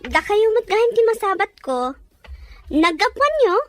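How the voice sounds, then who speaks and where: A woman playing a polite, soft-hearted young daughter in an audio drama, speaking gently and respectfully. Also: very high voice, expressive, normal speed. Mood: polite, concerned. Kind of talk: Ilocano audio drama acting. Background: music.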